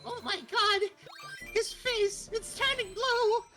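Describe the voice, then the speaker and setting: A woman acting as a brisk cartoon princess hosting an award show in a story read-aloud, with music behind her. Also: extremely high pitch, pushed and bright, full character voice. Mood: panicked shrieking.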